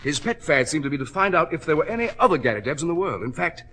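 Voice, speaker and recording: Radio drama role: eager, glib American attorney, slightly high voice, highly animated delivery. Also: male voice, fast talker. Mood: eager, explaining. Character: glib, eager American lawyer with a tall tale. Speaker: supporting actor in a detective radio drama. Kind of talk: old-time radio drama acting.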